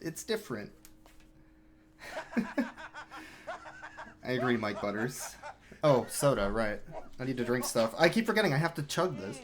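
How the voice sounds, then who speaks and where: A man's voice: mid-range voice, lively intonation, measured pace, pauses often. A man giving casual, joking live speedrun commentary with a sarcastic edge. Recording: game music playing behind.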